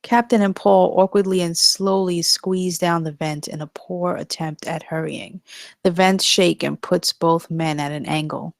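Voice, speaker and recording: A woman reads scripted stage directions as the narrator at a table read. Her lowish voice has plenty of vocal fry and takes its time, recorded close and dry. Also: fairly even intonation, a little slow, no room sound.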